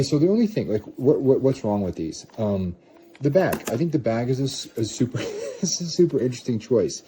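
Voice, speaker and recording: A man's voice: lowish voice, warm tone, a little hiss or hum. A man giving a casual, off-the-cuff product review, rambling and second-guessing himself as he goes. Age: adult parent of a young child. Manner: rambling, self-questioning, hedging.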